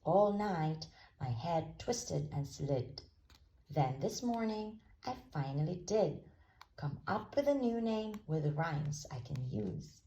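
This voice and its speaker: Woman voicing an earnest pig poet, very animated. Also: low-pitched, slow pace, a little hiss or hum, heavily acted, low-quality recording. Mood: proud reciting. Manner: declaiming, earnest storybook delivery. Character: earnest, rhyme-loving male pig poet, eager to please.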